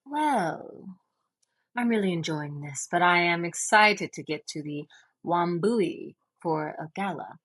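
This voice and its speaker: A woman's voice: on the low side, expressive, slow, fairly bright tone, full character voice. A woman playing a poised, genteel impostor at a gala, polite and carefully gracious, in a fantasy audio drama. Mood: gracious, guarded.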